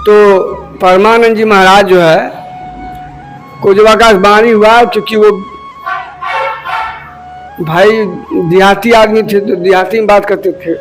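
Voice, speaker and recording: High-voiced middle-aged man giving a rambling devotional talk. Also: fairly even intonation, takes it slowly, long pauses, soft background music, low-quality recording. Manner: rambling, repeats himself, often addresses listeners as friends. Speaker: spiritual teacher explaining devotional songs. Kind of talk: informal devotional talk to viewers.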